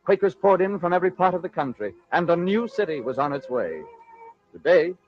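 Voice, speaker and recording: An American man with a somewhat high voice narrates in a theatrical, measured 1940s radio style. The recording is dull and lo-fi, with some music behind him. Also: big pitch swings. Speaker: narrator and host of a radio drama.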